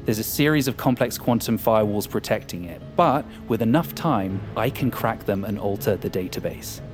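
An actor playing an arrogant, power-hungry hacker in an audio drama, with a smug, cynical and sardonic manner. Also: male voice, on the low side, animated, normal speed, music in the background, close to an everyday voice. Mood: confident, explaining. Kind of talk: audio fiction acting.

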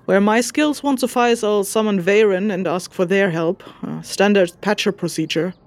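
An actress playing a practical, slightly exasperated scholar-investigator in a fantasy audio drama, speaking in a dry, matter-of-fact manner with hesitant asides. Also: female voice, medium pitch, normal speed, natural voice. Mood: methodical. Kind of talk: immersive fantasy audio drama, spoken journal.

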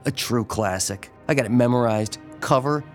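A man's voice: mid-range voice, normal speed, faint music behind, close to an everyday voice. A man playing a friendly, easygoing young comic book fan in a radio drama, chatty and enthusiastic.

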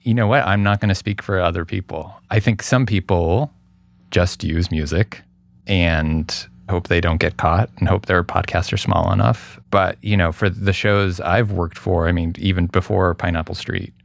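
A man's voice: deep voice, steady tone, moderate pace, vocal fry. A man as an interview guest, explaining his production work casually and thoughtfully, often repeating words as he goes.

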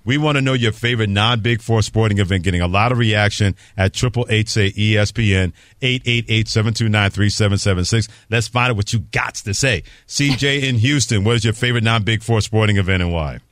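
A man's voice: fairly low pitch, brisk, few pauses, fairly bright tone, no echo, clean studio sound. A man from Brooklyn hosting a casual sports call-in radio show in a folksy, playful way. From Brooklyn, New York. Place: radio studio. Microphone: close studio microphone.

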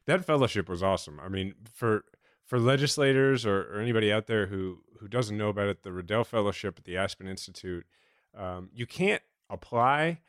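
A man's voice: lowish voice, fairly quick, dry recording, studio quality. A man co-hosting a podcast, chatty and enthusiastic, rambling with many fillers and restarts. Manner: rambling, self-interrupting, enthusiastic with frequent fillers. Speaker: podcast co-host. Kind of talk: casual podcast chat.

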